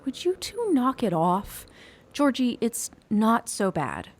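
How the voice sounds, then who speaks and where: A woman's voice: a little high, sing-song, slow, full character voice. A woman playing a fretful, kindly, inept witch in an audio drama, fussing and coaxing protectively. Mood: worried, coaxing. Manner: fussy, exclamatory, coaxing.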